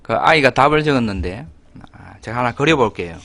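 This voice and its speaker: A middle-aged pastor with a Gyeongsang accent explains his point in a slow, deliberate way, with pauses and soft fillers. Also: mid-range voice, lively intonation, dry recording. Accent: Gyeongsang dialect. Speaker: pastor, guest. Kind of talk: conversational explanation.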